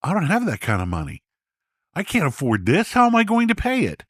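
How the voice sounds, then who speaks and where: A man's voice: high-pitched, wildly swooping pitch, fairly quick, a bit bright, no echo, pitched above the performer's own voice, heavily acted. A distraught working man, put on by a male narrator, pleads in an agitated voice that swings up and down. He sounds like a self-employed contractor at the end of his rope in a podcast story, recorded dry and close. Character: distraught, panicking self-employed contractor facing a tax bill.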